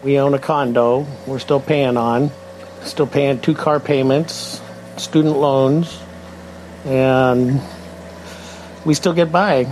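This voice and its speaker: A man's voice: medium pitch, steady tone, takes it slowly, long pauses, a little mellow, slight background noise, rough recording. A sixty-year-old man giving casual answers as an interviewed member of the public. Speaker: poll respondent.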